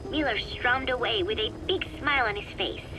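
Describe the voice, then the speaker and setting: An actress playing a wistful young woman, speaking softly and deliberately in an intimate taped diary for a video game. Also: a little high, background noise, lightly acted. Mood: bright, proud.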